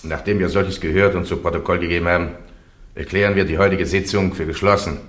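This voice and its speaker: An actor playing a presiding bishop judge who closes the session with formal, commanding orders in a radio play. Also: male voice, deep voice, steady tone, quick pace, a bit bright. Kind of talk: classic radio play acting.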